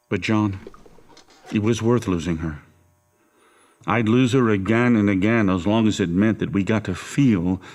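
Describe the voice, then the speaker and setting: A man's voice: fairly low pitch, a little mellow. An actor playing a menacing, controlling middle-aged father in an audio horror drama, with a folksy, coaxing, domineering manner. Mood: fervent, grieving.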